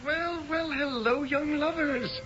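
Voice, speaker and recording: An actor playing a smug, teasing young gentleman, a suave rival in love, in a radio drama. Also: very high-pitched, measured pace. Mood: jaunty, smug. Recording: dull old radio recording with music.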